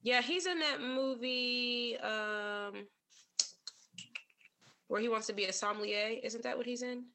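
Woman co-host with a bright, forceful voice, relaxed and joking, slightly buzzed, says um a lot. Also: fairly high pitch, a little slow, pauses often, a little hiss or hum. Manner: teasing, laughs easily, many ums. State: a little tipsy. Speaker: podcast co-host. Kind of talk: casual chat.

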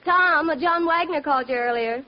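An actress playing a playful, gently bossy young wife in an old-time radio drama, with teasing homely banter. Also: female voice, sits high, normal speed, natural voice. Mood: casual. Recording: noisy, muffled old radio recording.

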